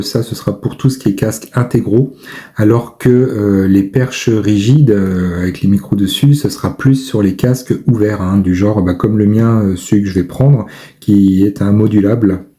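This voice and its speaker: A man giving casual product commentary, thinking aloud with hesitant pauses. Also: lowish voice, fairly even intonation, mellow. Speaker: amateur video maker reviewing a purchase.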